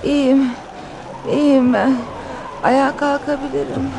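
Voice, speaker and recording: A noisy radio play recording of an elegant young lady. The voice is creaky and faltering, dazed but politely insistent. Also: high voice, little pitch movement, a little slow. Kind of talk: Turkish radio drama acting.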